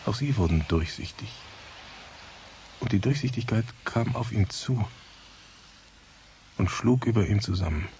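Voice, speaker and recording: An actor playing a brooding, philosophical narrator in a radio drama, speaking in an emphatic, deliberate, questioning way. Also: male voice, fairly low pitch, vocal fry, a little mellow, slight background noise. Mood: solemn, intense.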